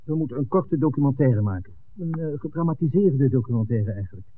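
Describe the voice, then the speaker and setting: An actor playing an eager, easygoing television director, speaking in a breezy, exclamatory 1960s Dutch radio drama style. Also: male voice, mid-range voice, lively intonation, a little fast, phone-quality sound, natural voice. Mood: sociable. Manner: exclamatory, breezy, chuckling between phrases. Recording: thin, noisy 1960s radio recording.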